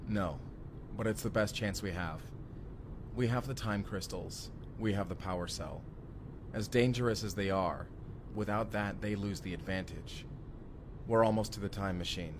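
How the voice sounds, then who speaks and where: Man acting a low-voiced, determined sci-fi hero. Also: moderate pace, noisy background, close to an everyday voice. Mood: urgent, planning. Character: urgent, determined male time traveler, protective of his partner. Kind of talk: immersive audio roleplay drama acting.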